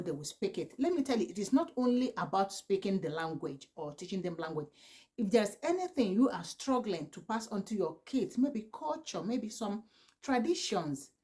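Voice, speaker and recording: A woman's voice: mid-range voice, darkish tone. A woman in her forties with a Nigerian accent, whose first language is Igbo, giving earnest, pleading advice to camera with many restarts. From southeastern Nigeria. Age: 40s. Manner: earnest and pleading, with repeated "please" and frequent restarts. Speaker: vlogger, mother of four.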